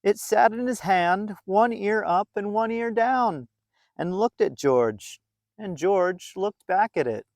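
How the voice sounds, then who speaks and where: High-pitched man reading a children's storybook, very animated. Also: no room sound, clean studio sound. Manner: playful, dramatic emphasis with drawn-out words. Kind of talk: expressive read-aloud storytelling for young children.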